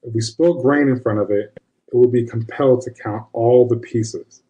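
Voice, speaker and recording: A man's voice: medium pitch, normal speed, dark tone, muffled recording, lightly acted. A man voicing a no-nonsense older witch grandmother, folksy and commanding, in an audio horror story. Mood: urgent, commanding.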